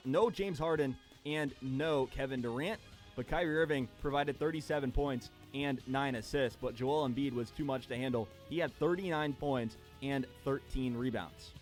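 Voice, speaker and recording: A young male sports anchor with a fairly high voice reads a scripted update of scores and results. A light music bed runs underneath him.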